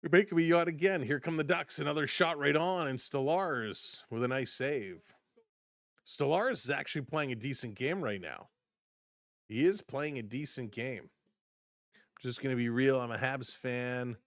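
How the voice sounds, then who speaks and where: A man calling a hockey game live for his fan stream, brisk in the action and chatty in between. His voice is mid-pitched and getting a little hoarse. The sound is close and dry, with no room around it. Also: no echo. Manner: brisk play-by-play, chatty asides to viewers. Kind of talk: live play-by-play and chat reactions.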